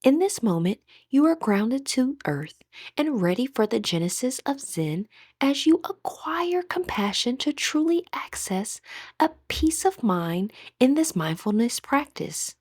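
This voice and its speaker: A woman with a breathy voice gently leading a guided meditation as an instructor, in measured, scripted phrases. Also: sits high, very animated, no room sound, clean studio sound.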